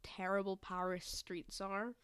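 A woman's voice: medium pitch, little pitch movement, unhurried, fairly bright tone, clear, resonant tone, no room sound. A young woman co-hosting a podcast, chatting casually about movies in a playful, enthusiastic manner. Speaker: podcast co-host. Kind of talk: casual movie discussion chat.

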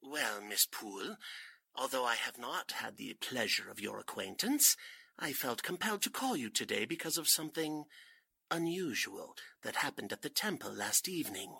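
An actor playing a suave, grandiose fake spiritualist cult leader in a 1940s-style radio drama, speaking formally and theatrically. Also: male voice, mid-range voice, very animated, moderate pace, gravelly, sharp tone, breathy edge, no echo, exaggerated voice. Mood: solemn, mystical.

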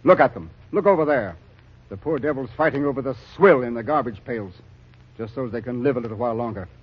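An actor playing a hardened, blunt army captain working famine relief, grim and weary, in a 1940s radio drama. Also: male voice, medium pitch, fast, natural voice. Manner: blunt, grimly weary, callous. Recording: dull old radio recording.

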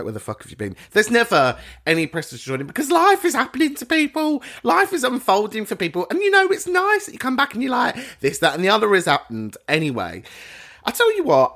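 A man hosting a call-in podcast, joking and swearing a lot as he reacts to voicemails. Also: a bit bright. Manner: swears a lot, jokey, swings pitch widely for effect. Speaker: podcast host. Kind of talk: casual chat reading voicemails.

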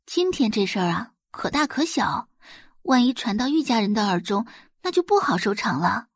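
A woman's voice: fairly high pitch, very animated, normal speed, slightly rough, dry recording, studio quality, full character voice. Woman voicing a sweet placating young daughter. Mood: sweetly placating. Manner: soothing, demurely reasonable.

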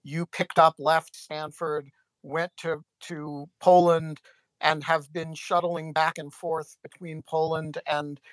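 Podcast host, male, halting with restarts, dry close sound. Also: on the high side, little pitch movement, a bit bright, no echo. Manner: halting, many fillers and restarts. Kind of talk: podcast interview.

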